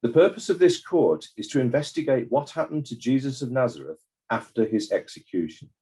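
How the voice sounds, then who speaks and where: An actor playing a formal court examiner, speaking in a measured, deliberate way in a scripted dramatized inquiry. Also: male voice, medium pitch, lively intonation, mellow, breathy edge. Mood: formal, solemn. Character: formal, probing male inquest examiner.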